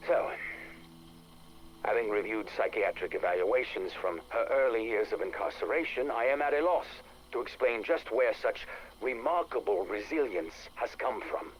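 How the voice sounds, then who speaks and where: An actor playing a cold, ruthless interrogator who dictates a report for a game audio log in measured, formal phrases with deliberate pauses. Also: male voice, medium pitch, a little hiss or hum, natural voice, low-quality recording. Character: cold, self-assured interrogator, methodical and ruthless.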